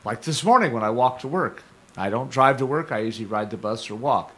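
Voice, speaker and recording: An older man hosting a community radio show from a studio, talking casually in a rambling, digressive way while his voice is going hoarse. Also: mid-range voice, animated, brisk, sharp tone. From Stockton, California. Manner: rambling, digressive, self-correcting. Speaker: radio host. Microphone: studio microphone.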